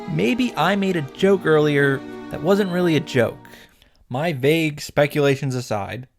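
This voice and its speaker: Male recap host, slightly high voice, wry and sweary, mock-grand delivery, music underneath. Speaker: visual novel reactor and narrator. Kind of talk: scripted comedic recap commentary.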